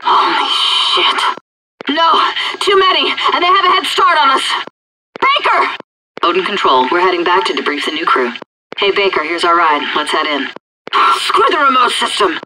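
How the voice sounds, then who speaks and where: A woman's voice: medium pitch, very animated, normal speed. An actress playing a tough, commanding female astronaut soldier in a combat video game, clipped and intense over a radio filter. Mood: focused, businesslike. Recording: noisy space radio transmission.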